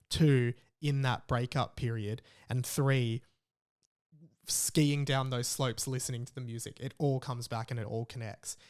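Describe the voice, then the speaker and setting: A young Australian man speaks in a medium-pitched voice as he hosts a podcast on his own. His delivery is casual and candid, wandering through his thoughts with plenty of filler words like a chat with friends. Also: clean tone. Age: young adult. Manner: candid, rambling, peppered with "like". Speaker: podcast host. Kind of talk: casual solo podcast monologue.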